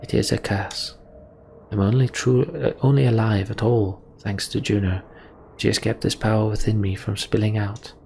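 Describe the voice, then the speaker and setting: Remorseful male game sorcerer, man reading, halting and confessional, low gravelly voice. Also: fairly even intonation, a little mellow. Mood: remorseful, burdened. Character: troubled male sorcerer, remorseful and burdened by power. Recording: background noise.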